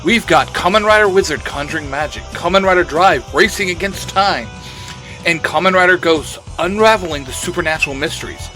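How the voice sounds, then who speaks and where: A man's voice: high-pitched, fairly quick, pushed and bright, soft background music. A man giving a grandiose, hyped scripted promo as a podcast host.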